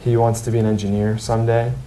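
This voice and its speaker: A man's voice: flat delivery, fast talker, warm tone. A man giving earnest, explanatory interview answers as a member of a charity team. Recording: roomy echo, faint background noise, rumble.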